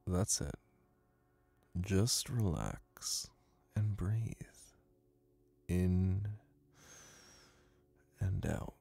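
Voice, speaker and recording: Deep, gravelly, slow young male hypnotist played by a man. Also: dry recording, soft background music, full character voice. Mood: soothing, commanding. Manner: soft, commanding, soothing, deliberate. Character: confident, dominant, soothing male college classmate turned hypnotist. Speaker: voice actor. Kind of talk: ASMR roleplay hypnosis.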